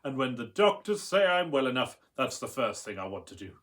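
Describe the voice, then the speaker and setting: A man playing a kind, convalescent gentleman in a radio play, speaking softly and with sympathy. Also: mid-range voice, very animated, fairly quick. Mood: fond, gentle.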